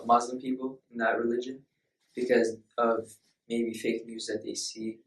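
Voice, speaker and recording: A teenage boy from Azerbaijan, a high school student who answers hesitantly with many uhs. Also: level tone, moderate pace, roomy sound, recorded from a distance, rough recording.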